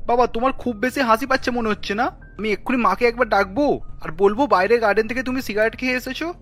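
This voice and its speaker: A man's voice: very high-pitched, fast, fairly bright tone, soft background music. A man voicing a worried young husband in a cartoon, earnest and pleading as he explains himself. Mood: teasing. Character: worried, placating young husband, anxious around his wife. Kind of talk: cartoon story dialogue.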